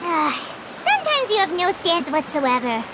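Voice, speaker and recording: A woman voicing a tiny, childlike fairy companion in a video game, cheeky and eager, with a squeaky voice. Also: huge pitch swings, normal speed, heavy background noise, heavily acted. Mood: puzzled, exasperated. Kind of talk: animated video game voice acting. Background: music.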